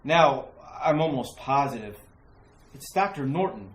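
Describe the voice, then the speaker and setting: A woman's voice: very deep voice, expressive, unhurried. A woman playing an earnest, protective man in a retro radio thriller, theatrical and emphatic. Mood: grave, urgent. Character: earnest, protective male companion, reassuring and insistent. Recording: echoey room with faint hiss.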